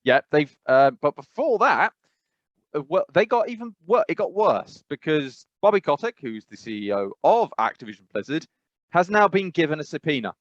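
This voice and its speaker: Man, podcast co-host, from England, rambling, hesitant, mid pitch, dull dry lo-fi sound. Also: very animated, normal speed, no echo. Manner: rambling, hesitant, many fillers and restarts, laughs while talking. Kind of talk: casual podcast chat.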